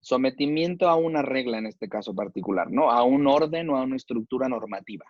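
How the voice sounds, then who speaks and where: A man's voice: mid-range voice, lively intonation, a little fast, dry recording, dull audio. Slangy Mexican man arguing his point. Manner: argumentative, slangy, uses rhetorical questions. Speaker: chef and debate host. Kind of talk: casual debate.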